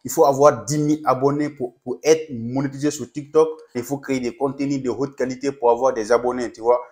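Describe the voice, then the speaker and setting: A man's voice: medium pitch, steady tone, a little fast, a little mellow. A young man, not a native French speaker, speaking as a content creator in a casual tutorial vlog with an encouraging pep-talk tone. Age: young adult. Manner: pep-talk tone, addresses viewers as "les gars".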